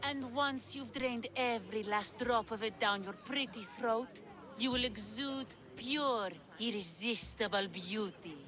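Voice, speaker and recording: A woman playing a sly witch in a video game, with a deliberate, theatrical, coaxing delivery. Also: on the high side, a crowd in the background, close to an everyday voice. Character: sly witch of Hecate peddling potions, slow, sultry. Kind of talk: dramatic video game acting.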